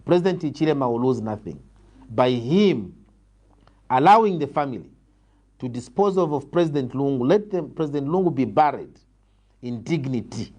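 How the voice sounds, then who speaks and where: A man with a Zambian accent answering political interview questions in a measured, formal, emphatic way. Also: mid-range voice, lively intonation, a little mellow, lo-fi sound. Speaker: political interviewee, party leader.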